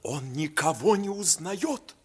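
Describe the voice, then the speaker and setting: A man's voice: fairly high pitch, expressive, slow. A man playing a fawning, anxious royal courtier in a theatrical radio play.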